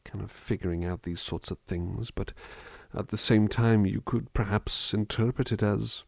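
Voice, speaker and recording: A man playing a gentle, attentive butler in an ASMR roleplay, musing in a polite, hesitant, formal way into a close microphone. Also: lowish voice, steady tone, normal speed, creaky, dry recording. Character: gentle, musing, attentive male butler looking after someone. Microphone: close dry microphone.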